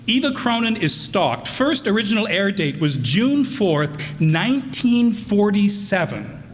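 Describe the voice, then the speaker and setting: A man's voice: high-pitched, big pitch swings, measured pace, faint music behind. A man hosting a live radio play evening, introducing it in a folksy, jokey way. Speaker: announcer and host. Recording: reverberant hall, faint background noise.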